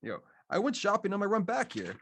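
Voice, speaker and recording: An actor playing a laid-back street operative in an audio drama, with teasing, slangy banter. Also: male voice, sits high, wildly swooping pitch, a little fast, gravelly, fairly bright tone, husky, exaggerated voice. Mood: urgent banter.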